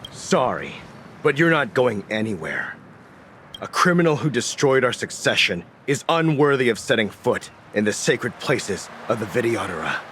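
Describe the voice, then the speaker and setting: A man's voice: medium pitch, big pitch swings, moderate pace, a little hiss or hum. A man voicing a vengeful, contemptuous assassin in a video game, with menacing, scornful and formal diction. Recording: loud game music behind the voice.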